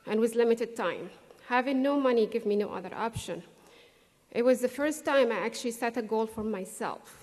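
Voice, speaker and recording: Middle-aged woman, English as a second language, earnest convocation speaker, slightly high voice, long hall reverb. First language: not English. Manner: earnest, measured, with pauses for emphasis. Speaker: graduation speaker. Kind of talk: prepared convocation speech. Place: large hall. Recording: distant echoey hall recording.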